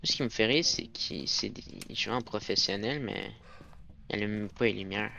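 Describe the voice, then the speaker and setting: A man's voice: on the low side, moderate pace, vocal fry, pushed and bright. A man with a Québécois accent, casually commenting on a ghost-hunting game as he plays and muttering to himself. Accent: Québécois French. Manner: chatty, muttering to himself while playing. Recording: slightly dull recording with faint noise.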